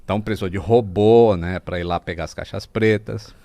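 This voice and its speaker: A man's voice: low voice, steady tone, a little fast, dark tone. A man with a Brazilian accent talking casually as a podcast guest, explaining things like a storyteller and mimicking sounds as he goes.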